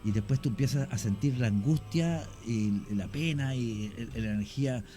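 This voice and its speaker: Mellow-voiced Chilean man explaining at length. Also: medium pitch, normal speed, soft background music. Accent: Chilean Spanish. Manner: long explanations, frequent fillers and restarts. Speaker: guest. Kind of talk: explanatory radio talk.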